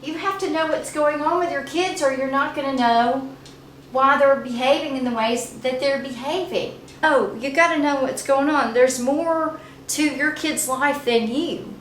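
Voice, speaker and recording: A middle-aged woman telling family stories in a chatty, animated way as a regular on a family channel. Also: sits high, measured pace. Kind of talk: casual storytime chat. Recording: echoey room with faint noise.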